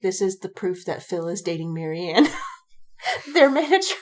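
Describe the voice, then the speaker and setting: A woman's voice: mid-range voice, normal speed. Rambling, casually sweary woman podcaster. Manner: animated retelling with quoted reactions. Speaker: podcast co-host. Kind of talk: casual fandom chat.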